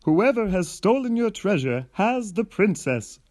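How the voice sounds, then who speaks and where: A man acts out a bumbling storybook hero, trying hard to sound bold. The voice jumps high and swings widely, with loud, declamatory delivery. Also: sing-song, unhurried, no echo. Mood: puzzled.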